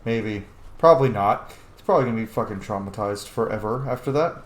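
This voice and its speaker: A man's voice: lowish voice, fairly quick. A man hosting a roleplay playthrough of a video game, chatting informally and casually. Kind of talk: casual let's-play commentary. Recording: roomy echo, faint background noise.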